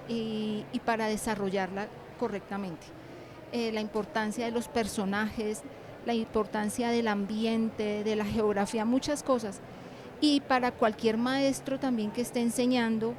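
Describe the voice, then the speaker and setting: A woman answering interview questions as an author and teacher, reflective and halting, in short repeated phrases. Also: fairly high pitch, noisy background.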